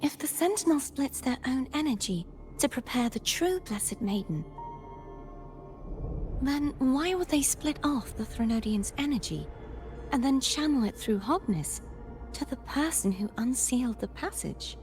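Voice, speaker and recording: Solemn, high-voiced young game warrior. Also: female voice, animated, measured pace, darkish tone, faint music behind. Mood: serious. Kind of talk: video game voice acting.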